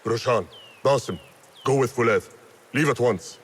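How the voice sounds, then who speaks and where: An actor playing the grave leader of a secret order in a video game, speaking with command. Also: male voice, medium pitch, measured pace, breathy edge. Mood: urgent. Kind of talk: dramatic video game acting.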